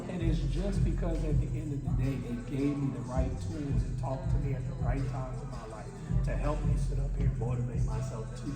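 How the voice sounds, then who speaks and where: A man who coaches fitness, talking in a loud, hyped, animated way with a habit of repeating stock phrases. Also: mid-range voice. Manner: repeats "sit up here" as a habit. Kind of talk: casual podcast interview. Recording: echoey hall, distant mic, loud music.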